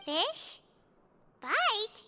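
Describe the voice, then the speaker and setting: Tiny squeaky fairy girl game sidekick, naive, swoops all over, loud music behind. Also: very animated, extremely slow, exaggerated voice. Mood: puzzled. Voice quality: squeaky, childlike. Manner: third-person self-reference, cutesy exaggerated reactions. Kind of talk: animated video game voice acting.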